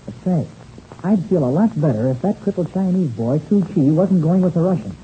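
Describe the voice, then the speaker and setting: A man's voice: on the high side, animated, a little fast, slight background noise, close to an everyday voice. An actor playing an eager, earnest young boy pilot in a 1930s radio adventure serial, on a muffled old recording. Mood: earnest, wary. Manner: boyish, earnest, slangy exclamations.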